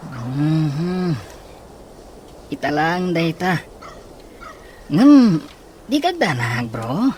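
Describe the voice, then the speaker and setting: Animated brotherly guy. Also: a little high, extremely slow, long pauses, exaggerated voice. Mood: consoling. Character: male drinking buddy consoling a heartbroken friend. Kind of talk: radio drama acting. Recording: noisy, with ambient sound.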